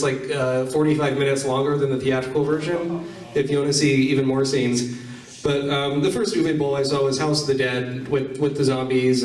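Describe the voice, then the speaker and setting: A man's voice: mid-range voice, far from the mic. A man hosting a live panel, talking casually to the room at a convention. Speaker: panel host. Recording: roomy hall, picked up from afar.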